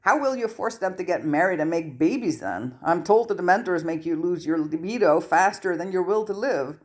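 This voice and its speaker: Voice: mid-range voice, expressive, fairly quick, pitched above the performer's own voice, close to an everyday voice. A reader voicing a wry, unbothered male chief of wizard law enforcement, dry and sardonic.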